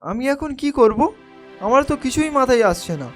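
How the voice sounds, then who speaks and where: Meek, high-voiced fisherman. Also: lively intonation, brisk, a bit bright, soft background music. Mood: distressed. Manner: pleading, meek. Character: humble, anxious, poor village fisherman.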